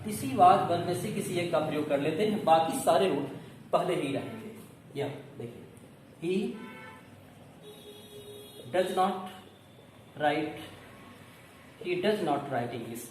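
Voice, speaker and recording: A male teacher explains in an instructive, step-by-step way. His voice sits high for a man. It comes from a distance in a very echoey room, with faint noise behind it. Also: slow, pauses often, a little mellow. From India. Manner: instructive, asks questions and answers them himself. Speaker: English grammar coaching teacher. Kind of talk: classroom lesson, explaining step by step.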